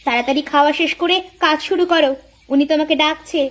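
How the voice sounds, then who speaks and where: A woman playing a sharp-tongued, jealous younger village wife in a folk-tale cartoon, nagging and sarcastic. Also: sits high, quick pace. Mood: scornful.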